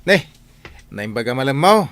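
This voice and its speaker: A man's voice: mid-range voice, sing-song, moderate pace, a bit bright. An actor playing a boastful, flirtatious driver courting a woman in a radio drama, with an Ilocano accent. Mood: flirting. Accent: Ilocano, northern Philippines.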